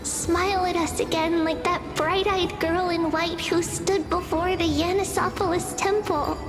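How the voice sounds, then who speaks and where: Tiny girl game character, extremely high voice, gentle pace, playful, wistful, music, hall reverb. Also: steady tone, measured pace. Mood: tender, wistful. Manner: playful, tender.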